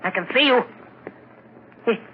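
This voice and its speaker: A man's voice: very high-pitched, animated, unhurried, a little hiss or hum. An actor playing a terrified, frantic man facing his murderer, exclaiming shrilly in 1940s radio horror style on a muffled old recording. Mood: panicked.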